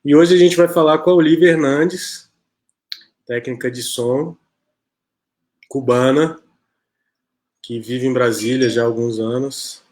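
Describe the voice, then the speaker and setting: A man's voice: mid-range voice, measured pace, pauses often. Chatty man hosting a live stream. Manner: chatty, relaxed, many "né?" tags. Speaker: host of an online interview show. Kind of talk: casual live-stream chat.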